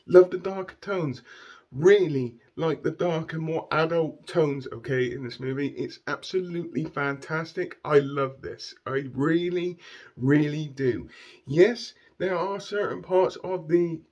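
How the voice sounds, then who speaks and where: A man with a croaky voice giving an enthusiastic casual movie review, repeating words and often tagging "okay?" onto his sentences. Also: on the high side, unhurried, darkish tone. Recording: dull lo-fi recording.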